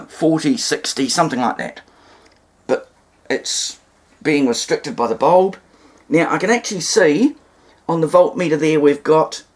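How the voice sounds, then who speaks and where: Man giving an informal hands-on demonstration. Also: mid-range voice. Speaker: hobbyist presenter demonstrating radio repair.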